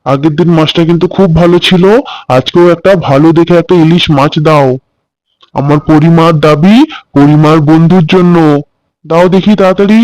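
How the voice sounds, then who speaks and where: A man's voice: on the high side, steady tone, normal speed, darkish tone, dry recording, lightly acted, low-quality recording. A man playing a kindly, doting village father in a children's cartoon, chatty and affectionate. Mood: cheerful. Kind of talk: Bengali children's cartoon story dialogue.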